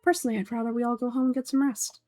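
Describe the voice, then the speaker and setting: A woman's voice: a little high, steady tone, rapid-fire, a little mellow, no echo, faint music behind, lightly acted. A woman voicing an aloof, matter-of-fact male scholar in a game script, dry and pedantic. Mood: dismissive.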